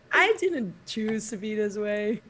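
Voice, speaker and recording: Hoarse woman player with a cold. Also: mid-range voice, sing-song, slow, fairly bright tone, a little hiss or hum. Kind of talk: casual chat.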